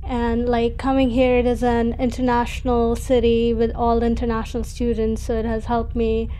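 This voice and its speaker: A woman's voice: on the high side, completely flat delivery, normal speed, dark tone, a little hiss or hum, rough recording. A woman, an international student, speaking earnestly and steadily in a documentary interview.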